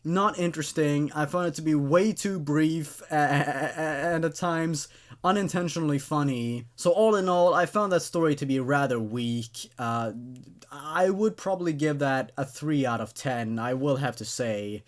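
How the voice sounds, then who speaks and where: A man hosting a horror story show, giving expressive, opinionated but apologetic commentary and often stammering and repeating words. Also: a little high, normal speed. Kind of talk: reading and reviewing amateur horror stories.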